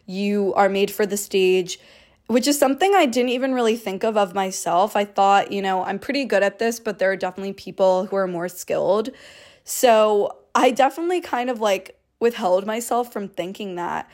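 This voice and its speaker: Chatty young woman with a low alto voice. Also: normal speed, darkish tone. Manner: chatty, heavy use of "like", casual swearing. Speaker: podcast host. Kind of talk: casual solo podcast storytelling.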